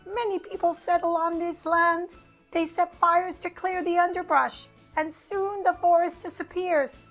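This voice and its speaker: High squawking toucan. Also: female voice, steady tone, a little slow, a bit bright, faint music behind, heavily acted. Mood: alarmed warning.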